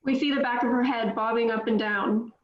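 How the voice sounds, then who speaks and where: A woman's voice: a little high, fairly even intonation, a little fast, a little hiss or hum. A woman reading stage directions aloud at a script table read. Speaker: script reader. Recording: echoey room, dull lo-fi sound.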